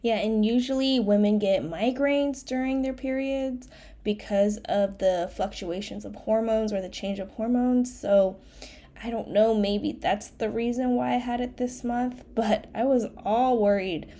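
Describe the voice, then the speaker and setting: A woman's voice: on the high side, a little slow, warm tone. A young woman hosting her own podcast, chatting casually and rambling, her voice nasal from a cold. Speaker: podcast host, life coach. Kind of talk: casual solo podcast chat.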